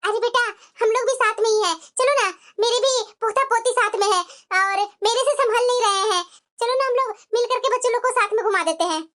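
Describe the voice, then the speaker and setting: A very high, sharp voice plays as a woman acts it a chatty elderly auntie. She is friendly and coaxing, a grandmother figure in a family cartoon. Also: a little fast, hardly any pauses, a little hiss or hum. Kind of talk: Hindi family moral cartoon acting.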